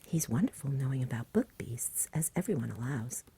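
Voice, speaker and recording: A woman voicing a sensible, kindly, homely country mother in a breathy, whispery ASMR storybook reading. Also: rumbling low, animated, fairly quick, mellow, a little hiss or hum. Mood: kindly, reassuring. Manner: homely, rustic, reasoning.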